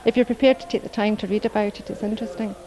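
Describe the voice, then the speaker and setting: A woman's voice: mid-range voice, fairly even intonation, very fast, dark tone, slight background noise, low-quality recording. A woman from Scotland with a Scottish accent, a tourist answering interview questions in a friendly, chatty way. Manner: chatty, friendly, agreeable with frequent uh-huhs. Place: indoors at a folk arts institute.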